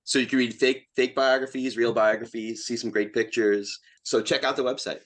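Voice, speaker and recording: A man's voice: medium pitch, fairly even intonation, normal speed, fairly bright tone. A man who is a playwright and podcast guest, chatting casually in an enthusiastic, plain-spoken way. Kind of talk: casual interview chat.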